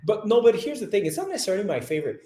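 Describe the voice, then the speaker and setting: A man's voice: high-pitched, sing-song, racing, darkish tone, roomy sound. A young man from French-speaking Canada, whose first language is French, chatting casually with a French Canadian accent on a live travel hangout. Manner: chatty, lots of "like" and restarts. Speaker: travel vlogger, co-host.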